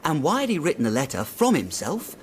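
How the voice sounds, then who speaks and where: A man's voice: on the high side, huge pitch swings, brisk, slight background noise. An actor playing an earnest, uneasy young male clerk in a period radio drama, eagerly and deferentially telling his story. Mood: puzzled, intent.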